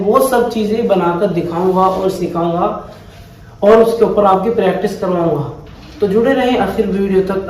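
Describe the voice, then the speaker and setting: A high-voiced man teaches a step-by-step grammar lesson, going back over each definition patiently. He sounds a little away from the mic in a very echoey room, with a faint hum behind him. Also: a little mellow. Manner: patient, repeats key definitions slowly. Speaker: Arabic teacher. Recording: echoey room heard from a distance.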